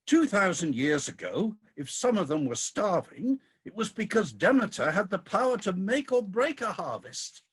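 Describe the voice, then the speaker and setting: A woman playing a grumpy, defensive, weary old male god in a comic radio play, husky and indignant. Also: medium pitch, sing-song, normal speed, hardly any pauses. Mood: indignant nostalgia. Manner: indignant, grumbling.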